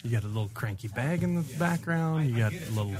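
A man's voice: mid-range voice, animated, fast talker, a crowd in the background. A man co-hosting a podcast with jokey banter and a cheeky, irreverent manner. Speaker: podcast co-host and announcer.

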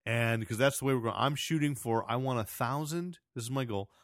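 A man with a loud, carrying voice rambles through podcast plugs and chat, correcting himself as he goes. His voice sits in a middle pitch. The sound is dry and close. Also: a little fast, no room sound. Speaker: podcast host and editor.

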